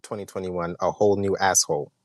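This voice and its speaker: A man's voice: sits low, level tone, fast, no room sound. A man co-hosting a podcast in casual chat, sometimes hesitant and repeating himself. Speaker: podcast co-host.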